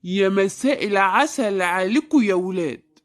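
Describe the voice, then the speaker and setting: High-pitched fussy old Egyptian grandpa. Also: male voice, lively intonation, moderate pace, no room sound, clean studio sound, faint music behind. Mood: affectionate. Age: older. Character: doddering, kindly old grandfather storyteller, easily rattled. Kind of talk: comic cartoon voice acting.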